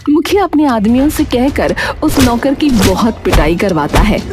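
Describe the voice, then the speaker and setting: A woman's voice: a little high, lively intonation, moderate pace, loud music behind the voice. A woman reading scripted narration for a cartoon story.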